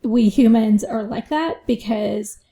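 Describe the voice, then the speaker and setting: A woman's voice: on the high side, slow, dark tone. A woman financial advisor answering interview questions in a reflective way, often adding "you know" and "right?".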